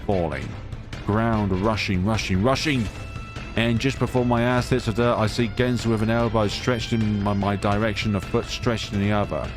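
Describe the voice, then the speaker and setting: Low-voiced man narrating over music. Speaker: let's player reading a game aloud. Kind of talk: dramatic narrated read-aloud of a visual novel. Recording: music behind the voice. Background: game music.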